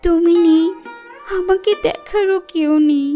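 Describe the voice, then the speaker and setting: A woman's voice: very high-pitched, fairly even intonation, measured pace, dark tone, faint music behind. A woman voicing a plaintive young girl in a fairy-tale cartoon, pleading in a whiny way. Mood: sorrowful, pleading.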